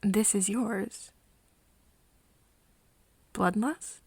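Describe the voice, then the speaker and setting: A woman acts out a hesitant, tender young woman in an ASMR roleplay drama. Her breathy voice crackles with fry and often sinks to a whisper. She talks slowly and haltingly, as if to a close friend. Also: medium pitch, a little mellow, no room sound, exaggerated voice. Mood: tentative, curious. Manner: halting, hesitant, with nervous giggles. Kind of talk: soft ASMR roleplay acting addressed to the listener.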